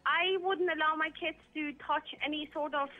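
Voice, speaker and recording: High-voiced woman caller on a tinny phone line. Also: steady tone, normal speed, faint music behind. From Iran, raised in Vienna, Austria. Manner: chatty, frank, uses fillers. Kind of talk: phone-in call.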